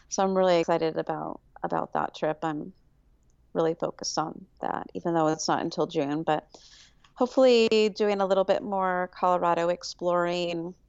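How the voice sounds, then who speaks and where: A woman chatting as a podcast guest, upbeat and easily excited as she talks. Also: lowish voice, vocal fry, clear, resonant tone, no room sound, highs cut off. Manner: upbeat, bubbly, quick to get excited. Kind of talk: casual interview chat.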